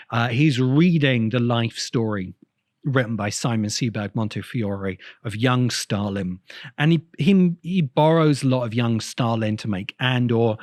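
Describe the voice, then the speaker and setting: A man with a mid-pitched voice delivers informal analytical commentary in the manner of an essay host. He thinks aloud, often pausing and doubling back on words as he builds an explanation. The sound is close and dry, with very little room in it. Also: dry recording. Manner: halting, thinking aloud with repeated words and pauses. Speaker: host of a science fiction video essay channel.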